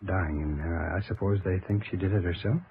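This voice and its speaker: A man's voice: sits low, fairly quick, creaky. A male actor playing a dejected, polite nephew in a 1950s radio drama, earnest and subdued. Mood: uneasy, earnest. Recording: tinny, noisy vintage radio recording.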